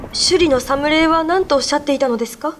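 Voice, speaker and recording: A woman's voice: high voice, brisk, slight background noise, lightly acted. A woman playing a pleading tree spirit wife. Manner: pleading, tearful. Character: spirit of a sacred tree, a gentle young wife. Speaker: voice actress. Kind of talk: radio drama acting. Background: music.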